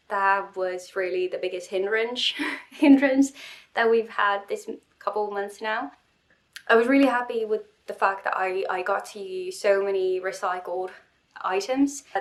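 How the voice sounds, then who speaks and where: Young woman vlogging, stuffy and sniffly, rambly and self-deprecating, lots of restarts. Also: medium pitch. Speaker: cosplay vlogger. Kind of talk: casual vlog talk.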